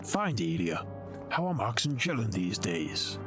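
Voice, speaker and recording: A man's voice: lowish voice, big pitch swings, a little slow. A man playing a weary, courteous, slightly aloof lord in an audio drama, with a dry, drawling delivery. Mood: weary, polite. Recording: loud music under the voice.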